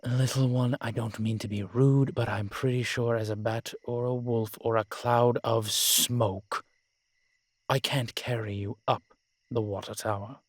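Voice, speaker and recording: A man playing a sardonic, weary vampire in an audio roleplay, speaking in a measured, formal, wry way. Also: medium pitch, fairly even intonation, no room sound, close to an everyday voice. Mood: exasperated, dry. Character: sardonic, weary male vampire, protective of a little companion. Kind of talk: scripted audio roleplay drama.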